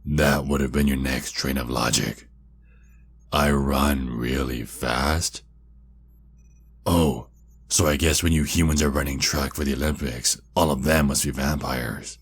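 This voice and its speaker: Sinister, taunting ancient vampire kidnapper played by a man, rock-bottom pitch, breathy husk, ASMR drama. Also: steady tone, full character voice. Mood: mocking. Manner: slow, drawn-out, mocking menace. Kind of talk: ASMR roleplay monologue.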